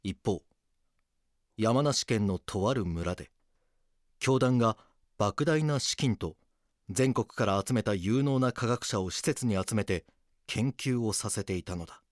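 Police detective, lowish voice, dry close studio sound, grave, weary, scripted drama narration. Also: male voice, animated, no room sound. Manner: serious, measured storytelling.

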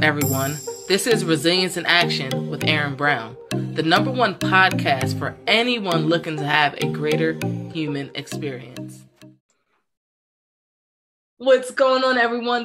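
A woman's voice: fairly low pitch, fairly bright tone. A woman hosting a podcast, chatting casually and cheering on her guest with upbeat affirmations. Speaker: podcast host. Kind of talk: casual interview chat.

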